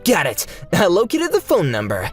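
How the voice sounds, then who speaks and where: Manga dub role: breezy self-assured hacker mentor, lively male performer. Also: high voice, moderate pace, breathy edge, voice pushed up, full character voice. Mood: confident. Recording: music bed behind the voice.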